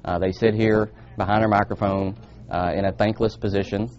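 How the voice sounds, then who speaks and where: Male interviewee, flat intonation, fairly low voice, news soundbite. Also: moderate pace, highs cut off. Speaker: emergency communications manager.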